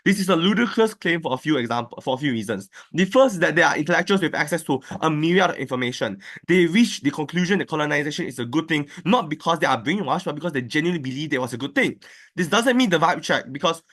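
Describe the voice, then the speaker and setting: Male debater, lightly raised pitch, fast structured rebuttals over a call mic. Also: lively intonation, a bit bright. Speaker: debate speaker. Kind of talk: competitive debate speech. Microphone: online call, own mic.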